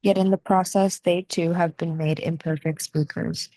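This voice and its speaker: A young woman with an American accent narrates a personal essay in a measured, soft-spoken way. Her voice sits a little low for a woman, and the sound is dry and close, with no room around it. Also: fairly even intonation, no echo. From United States. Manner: measured, soft-spoken reading with deliberate pauses. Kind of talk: scripted reflective narration.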